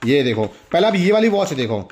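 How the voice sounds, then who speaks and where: High-pitched, enthusiastic young man. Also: very animated, a little fast, darkish tone, a little hiss or hum. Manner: enthusiastic, repetitive, exclaiming. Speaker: toy review host. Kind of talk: casual product demonstration to viewers.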